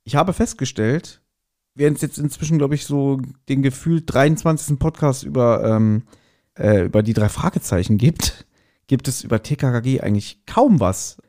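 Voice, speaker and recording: A man's voice: medium pitch, normal speed, darkish tone. A man hosting a podcast in a casual, chatty and self-ironic manner. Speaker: podcast host.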